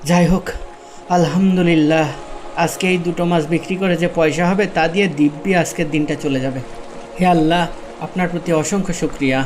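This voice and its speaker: A man's voice: a little high, steady tone, a little fast, darkish tone, slight background noise, lightly acted. A man playing a devout, humble fisherman and patient husband in a cartoon, earnest and pleading. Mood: prayerful. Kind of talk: cartoon dialogue.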